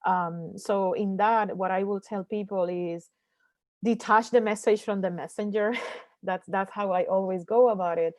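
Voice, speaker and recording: A woman's voice: mid-range voice, steady tone, normal speed, darkish tone, dry recording. Earnest woman from Puerto Rico, native Spanish. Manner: reflective, earnest, frequent fillers and restarts. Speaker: panelist, scientist. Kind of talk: panel discussion.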